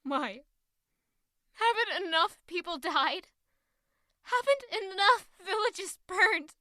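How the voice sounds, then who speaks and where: A woman's voice: extremely high pitch, expressive, slow pace, pushed and bright, no echo, clean studio sound, exaggerated voice. A woman acting a stubborn, grieving young refugee who feels betrayed, intense and accusatory in a fantasy audio drama. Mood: anguished, angry. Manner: intense, accusatory, emotionally charged.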